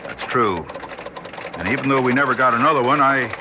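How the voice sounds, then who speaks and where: An actor playing a measured, courteous senior male scientist in a 1960s radio drama. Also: medium pitch, lively intonation, noisy background, natural voice. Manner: measured, considered, collegial. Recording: muffled old radio broadcast.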